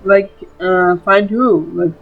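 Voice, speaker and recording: A woman's voice: on the low side, slow, narrow, tinny audio. Chatty female gamer over faint music. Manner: chatty, repeated verbal tags. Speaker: gaming video host. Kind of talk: casual let's play commentary. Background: game music.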